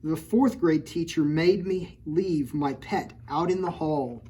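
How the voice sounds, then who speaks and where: Woman librarian, storytime reader, mellow tone, playful teasing asides. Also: low voice, takes it slowly, husky. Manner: playful, teasing, stops often to point out pictures. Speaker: children's librarian. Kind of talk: storytime read-aloud with chatty asides.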